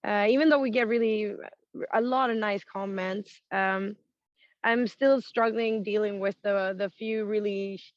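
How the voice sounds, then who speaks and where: Rock singer lady, raspy and hoarse, frank, laughs a lot, kinda dull dry recording. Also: mid-range voice, steady tone, measured pace, no echo. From Sweden. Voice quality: naturally raspy voice. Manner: frank, laughs often, many fillers and restarts. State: extra hoarse after touring. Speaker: rock singer, interview guest. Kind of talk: casual interview chat.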